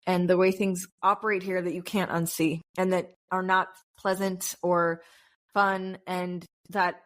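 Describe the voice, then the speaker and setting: A woman in her thirties from the United States, a podcast guest chatting casually and telling lively stories. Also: unhurried. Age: 30s. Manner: animated storyteller, bursts into high-pitched acted quotes and laughs. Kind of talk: casual interview chat.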